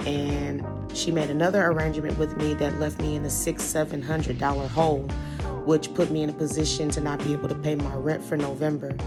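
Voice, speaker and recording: Woman vlogger, hoarse, low flat voice, rambling chatty storytelling, music behind. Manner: chatty, rambling, addresses viewers as y'all. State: hoarse from a cold. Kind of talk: casual storytelling to camera.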